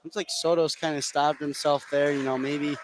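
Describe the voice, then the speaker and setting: A man's voice: on the high side, level tone, moderate pace. A man giving color commentary and analysis during a live basketball radio broadcast. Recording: clear gym noise behind voice. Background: gym ambience with bouncing basketballs.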